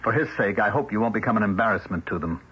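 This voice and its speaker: An actor playing a stern male village elder in an old-time radio drama, formal, measured and quietly menacing. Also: lowish voice, husky. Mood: cold, authoritative. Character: stern, controlled village elder laying down law. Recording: dull vintage radio recording.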